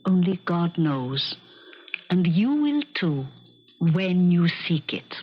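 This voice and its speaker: An actress playing a wise, consoling elderly female piano teacher in a measured 1970s radio drama style. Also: fairly low pitch, very animated, heavily acted. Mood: gently consoling. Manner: measured, philosophical. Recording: dull 1970s radio broadcast recording.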